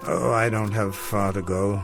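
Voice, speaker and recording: Weary, elderly melancholy schoolteacher. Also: male voice, lowish voice, steady tone, measured pace, vocal fry, a bit bright, faint music behind. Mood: wistful. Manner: formal, eloquent, melancholy. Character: weary, self-doubting elderly male schoolteacher, gentle and bookish. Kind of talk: radio drama acting.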